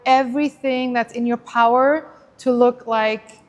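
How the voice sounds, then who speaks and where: A woman hosting a casual, chatty interview in an animated, informal, expressive way. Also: a little high, measured pace, long reverb tail. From Switzerland, originally from Iran. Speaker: podcast host interviewing a guest.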